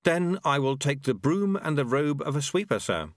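A man's voice: on the high side, sing-song, normal speed, dry recording, clean studio sound, pitched above the performer's own voice. A man voicing an earnest, stubborn young male monk apprentice. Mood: firm earnest. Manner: earnest, indignant, firm.

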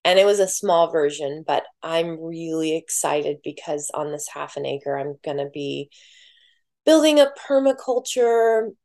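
A woman with a lowish voice talks slowly and gently, musing aloud and leaning on filler words. She comes across soft-spoken and reflective in an unhurried podcast chat. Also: lively intonation. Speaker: podcast guest. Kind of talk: reflective casual conversation.

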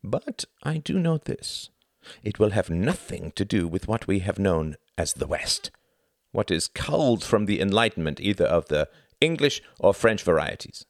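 A man's voice: sits low, very animated, normal speed, no echo, studio quality, voice pushed up. A man voicing a proud, scornful young nationalist academic from Germany in an audiobook, with a declamatory, insistent delivery. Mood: airy, then hardened.

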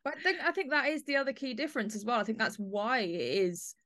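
A woman hosting a podcast, discussing drama in a measured, analytical way. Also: fairly high pitch, bright, forceful tone, no echo, studio quality. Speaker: podcast host. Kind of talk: discussing an audio play.

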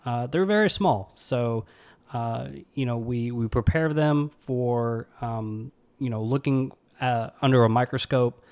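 A man's voice: mid-range voice, measured pace, a little mellow. A man with an Alabama accent hosting his own podcast, talking earnestly in a rambling way full of fillers and restarts. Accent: Alabama Southern. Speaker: podcast host, pathology resident. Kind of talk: casual solo podcast monologue.

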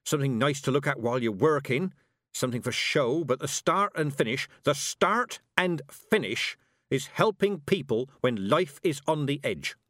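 A man's voice: mid-range voice, animated, normal speed, fairly bright tone, no echo, studio quality, pitched above the performer's own voice, natural voice. A man voicing a stern, blunt, proud old country witch woman with emphatic rustic speech, in audiobook style. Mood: emphatic, earnest. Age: older. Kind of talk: audiobook character acting.

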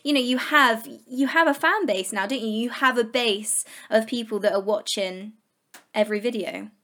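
A woman's voice: fairly high pitch, normal speed, sharp tone. A young woman, hosting a casual interview, talking chattily with many "like"s and repeated words; her is Portuguese. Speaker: podcast host.

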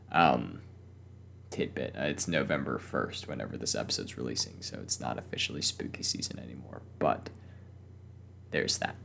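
A man's voice: extremely low pitch, gravelly, fairly bright tone, a little hiss or hum. A young man hosting a casual solo podcast, his voice weak and strained from a cough, talking hesitantly with many fillers and restarts. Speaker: podcast host.

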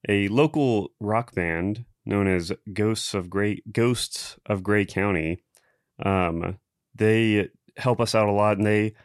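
Guy hosting a podcast, real deep voice, lots of ums, laid back. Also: clear, resonant tone, dry recording, clean studio sound. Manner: relaxed, halting, many ums. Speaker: podcast host. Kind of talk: casual podcast chat.

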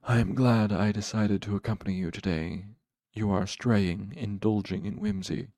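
A man voicing a hooded dark lord in a fantasy story, cool and controlled, speaking with slow, precise patience and quiet menace. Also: lowish voice, expressive, creaky, dry recording, clean studio sound. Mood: patient reproach. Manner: slow, patient, precise, sharpening to hard command.

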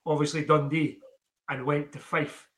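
A man hosts a podcast interview, his voice sitting on the higher side. He puts his questions in a loose, rambling, conversational way and tells a short story of his own along the way. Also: flat delivery, measured pace, a bit bright. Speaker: podcast host. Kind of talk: casual interview chat.

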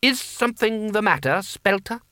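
An imperious young boy wizard, acted by a male narrator, pitched high above ordinary speech. He declaims with zealous certainty and sweeping swings of tone, in a very dry fantasy audiobook sound. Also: lively intonation, normal speed, pushed and bright, dry recording. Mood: probing. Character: commanding zealous boy wizard leading the mages.

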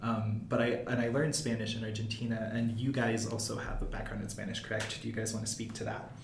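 A man's voice: lowish voice, level tone, quick pace. A young man hosting a student podcast, chatty and friendly with lots of fillers, wearing headphones at a mic. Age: young adult. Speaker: podcast host. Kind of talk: casual student podcast chat. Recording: echoey room, faint noise.

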